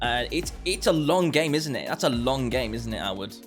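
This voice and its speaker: Male podcast host, mid-range pitch, chatty and repetitive, casual gaming talk with faint music underneath. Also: expressive, normal speed.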